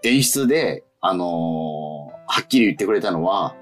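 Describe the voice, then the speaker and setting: A man's voice: sits low, lively intonation, unhurried, soft background music. A man co-hosting a casual radio after-show chat, feeling his way through sentences and often rephrasing. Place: radio studio.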